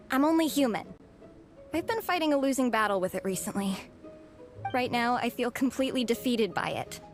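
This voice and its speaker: A woman's voice: fairly high pitch, close to an everyday voice. A woman voicing a weary young female student who pleads dramatically about her unfinished thesis, acted for a game. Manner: melodramatic, pleading. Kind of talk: game voice acting.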